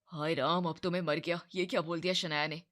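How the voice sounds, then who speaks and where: A woman's voice: sits low, fairly even intonation, a little fast, fairly bright tone, no room sound, clean studio sound. A woman voicing a flustered, anxious young man who keeps pleading and worrying. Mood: panicked.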